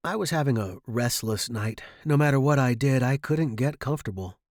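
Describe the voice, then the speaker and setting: A man's voice: medium pitch, expressive, moderate pace, darkish tone, no room sound, clean studio sound. A man reading a first-person short story dramatically, as its narrator.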